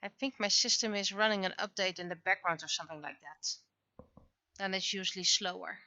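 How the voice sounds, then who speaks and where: Woman, casual streamer, bright voice, noticeable vocal fry, halting self-talk, dry close sound, lo-fi recording. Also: mid-range voice, fairly even intonation, dry recording. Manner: muttering to self, halting, thinking aloud. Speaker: livestreamer, webcomic artist. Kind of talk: casual livestream chat.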